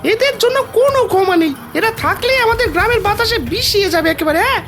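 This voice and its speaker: A woman voicing an angry, unforgiving villager in a cartoon folk tale, shrill and condemning. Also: expressive, fast, fairly bright tone. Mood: indignant. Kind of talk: Bengali cartoon voice acting.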